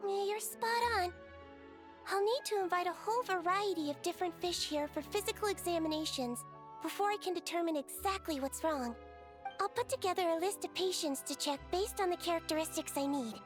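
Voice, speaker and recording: A woman's voice: very high-pitched, brisk, music in the background. A woman voicing a tiny, childlike female fairy companion in a video game, cheerful and perky. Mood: businesslike, friendly. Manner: perky, refers to self in third person.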